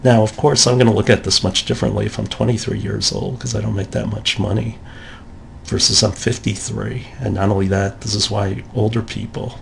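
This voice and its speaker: A man's voice: fairly low pitch, vocal fry, a little hiss or hum. A man giving a recorded college lecture in a conversational way, acting out the thoughts of shoppers in quotes.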